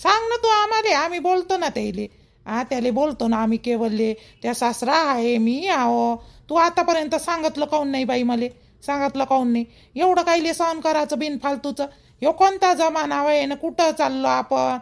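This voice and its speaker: A woman's voice: high-pitched, lively intonation, moderate pace, gravelly. A woman voicing a middle-aged, protective, gossipy mother-in-law in a village cartoon, emphatic and repetitive, with a Vidarbha Marathi accent. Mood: indignant, reassuring. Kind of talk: rural family cartoon dialogue.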